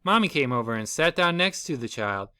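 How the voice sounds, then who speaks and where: A man's voice: medium pitch, big pitch swings, a little fast, sharp tone, clean tone, no echo. A man reading a children's storybook aloud as the storyteller.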